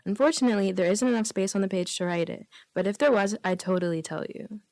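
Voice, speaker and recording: A woman's voice: medium pitch, fast talker, gravelly, a little mellow, dry recording. A woman guest on a radio comedy show, reading jokes aloud and bantering casually. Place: radio studio.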